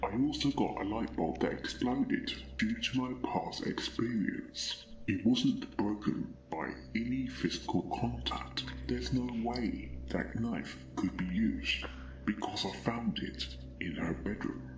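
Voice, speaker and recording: A brooding, hot-tempered male detective acted out by a man reading a story, grim and deliberate. The voice is low and the pace very slow, with music underneath. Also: rough recording. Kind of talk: dramatic fan fiction reading.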